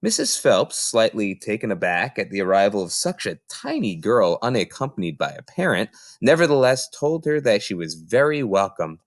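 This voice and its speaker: A man reading a children's novel aloud as an expressive narrator. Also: fairly low pitch, normal speed, hardly any pauses, no echo, studio quality. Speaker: reader.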